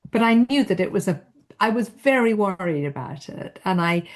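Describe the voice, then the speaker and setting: A woman's voice: mid-range voice, animated, normal speed. A British woman novelist being interviewed, speaking thoughtfully and self-deprecatingly with frequent restarts, in a British accent. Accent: British English. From Britain. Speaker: novelist guest. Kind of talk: interview conversation.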